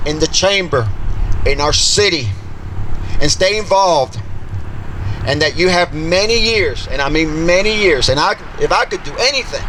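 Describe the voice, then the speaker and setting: A man's voice: a little high, lively intonation, moderate pace, bright, forceful tone, a little hiss or hum, low-quality recording. A man giving earnest, repetitive well-wishes at an outdoor ceremony.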